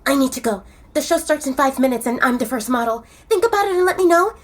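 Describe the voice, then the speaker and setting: Woman playing a lively, high-voiced young she-wolf model. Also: quick pace. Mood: urgent, businesslike. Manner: direct, persuasive. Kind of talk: dramatised audio romance.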